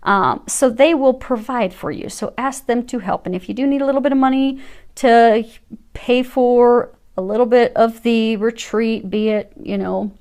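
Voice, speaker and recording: A woman giving a casual tarot reading, gentle and reassuring, with frequent fillers and restarts. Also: a little high, fairly even intonation, normal speed. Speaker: tarot reader.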